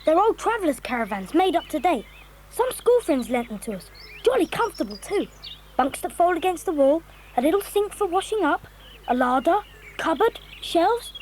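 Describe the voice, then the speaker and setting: Woman as high-voiced, brisk, sensible schoolboy. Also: sing-song, darkish tone, slight background noise. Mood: cheerful. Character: sensible, cheerful schoolboy, leader of the children. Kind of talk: children's audio drama acting.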